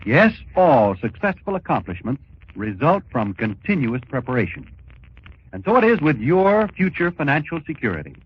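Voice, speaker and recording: Male vintage radio announcer, highish voice with lively swings, emphatic sales read, muffled old broadcast sound. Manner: emphatic, measured, persuasive. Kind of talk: 1940s scripted radio public-service pitch. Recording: dull, noisy 1940s radio recording.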